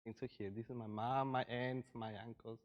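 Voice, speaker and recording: A man from Costa Rica presents to a group in an informal style. His voice sits at an average pitch, and his phrasing is loose and a little hesitant as he explains things. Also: little pitch movement, moderate pace, lo-fi sound. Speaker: session presenter, migration researcher.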